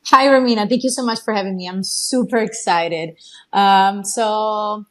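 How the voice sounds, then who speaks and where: A woman's voice: medium pitch, moderate pace, a bit bright. Enthusiastic Italian-accented woman. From Italy. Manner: enthusiastic, many fillers and restarts. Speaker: guest, horse trainer. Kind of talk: casual interview talk.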